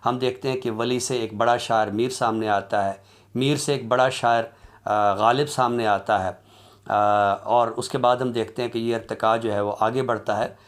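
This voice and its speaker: Man lecturing, halting and deliberate. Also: mid-range voice, fairly even intonation. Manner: halting, deliberate, explanatory delivery. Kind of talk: academic lecture on literary criticism.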